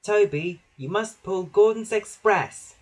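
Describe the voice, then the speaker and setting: A man's voice: high-pitched, takes it slowly, pitched above the performer's own voice. A man voicing an eager, self-important little male steam engine for a children's storybook, bossy and earnest. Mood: bossy, proud.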